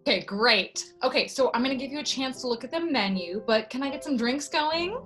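A woman's voice: sits high, lively intonation, a little fast, a bit bright, faint music behind. A woman playing a perky, breezily intrusive waitress in a video-call comedy sketch, with chirpy customer-service politeness. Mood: breezily polite. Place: indoors, in front of a curtain, on a video call.

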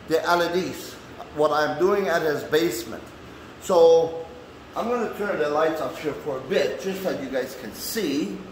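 Man, casual vlog host, halting folksy phrasing, unhurried pace, roomy echo with light background noise. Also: a little high. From Canada. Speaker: vlogger and handyman host. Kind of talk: casual walk-through chat to camera. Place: indoors in a man cave workshop.

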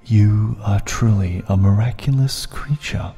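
A man softly narrating a hypnotic guided meditation in a soothing, deliberate way, with breathy phrases and long pauses. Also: fairly low pitch. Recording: long reverb, music bed underneath. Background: music.

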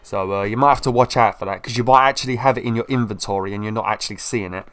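A young man with a slight cold, casually commentating a video game walkthrough in an excitable, chatty way. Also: lowish voice, racing, faint music behind. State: slightly ill, sniffly. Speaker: gameplay video host. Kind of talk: casual let's-play commentary.

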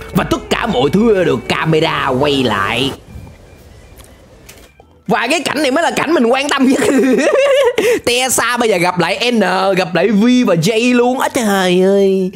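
A young man with a southern Vietnamese accent giving playful, exclamatory reaction commentary in a casual, chatty way on a close microphone. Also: high voice. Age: young adult. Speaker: reaction video host. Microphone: close desk microphone with headset.

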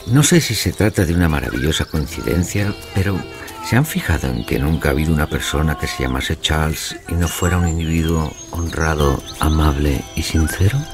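A man's voice: a bass voice, fairly quick. An actor playing a self-assured, pompous male storyteller, narrating a mystery audio drama in theatrical style. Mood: grave, knowing. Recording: music behind the voice.

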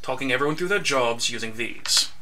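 A man's voice: medium pitch, expressive, a little fast, pushed and bright, slight background noise. An actor playing an earnest, easily exasperated young man who plans a magical heist in an audio drama. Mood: firm.

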